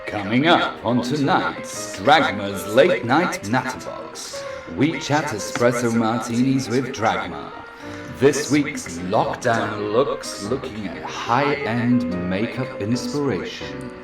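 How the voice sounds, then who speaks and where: Slow, roomy promo announcer man. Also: mid-range voice, expressive. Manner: measured promo delivery. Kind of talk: scripted show trailer voice-over. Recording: roomy announcer voice over music. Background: music.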